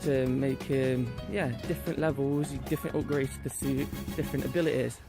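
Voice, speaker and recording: A man's voice: mid-range voice, fairly even intonation, brisk, creaky, music in the background. A man in his thirties chatting casually outdoors, often repeating and correcting himself, filmed at arm's length. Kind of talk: casual chat. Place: outdoors on a residential street. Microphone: phone or camera held at arm's length.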